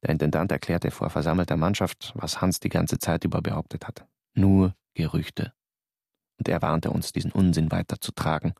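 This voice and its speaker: A man's voice: low voice, little pitch movement, normal speed, gravelly, a little mellow, no echo, clean studio sound. An actor playing a young theatre worker who narrates his letters and questions everything, in a radio drama. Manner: questioning, doubtful.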